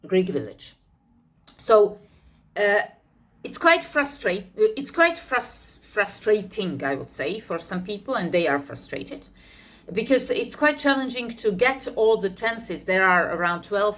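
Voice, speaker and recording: A woman with a somewhat low voice explains points of English in a relaxed, unscripted lesson. She talks thoughtfully, often pausing to find the right word and circling back over a phrase before moving on. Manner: thoughtful, searching for words, repeats herself. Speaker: English teacher.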